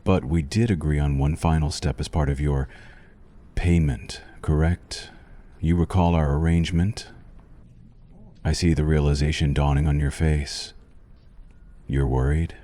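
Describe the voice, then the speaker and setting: Male actor as vampire doctor for ASMR: extremely low, mellow voice, formal, possessive, softly menacing. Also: fairly even intonation, a little slow. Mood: hungry, coaxing. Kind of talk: ASMR roleplay, scripted monologue to the listener.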